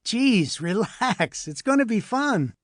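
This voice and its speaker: Man playing an easygoing dad, higher-pitched voice. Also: very animated, slow pace, dry recording, clean studio sound, heavily acted. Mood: amused, soothing. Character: easygoing, reassuring middle-aged dad. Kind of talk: dialogue in an audiobook memoir.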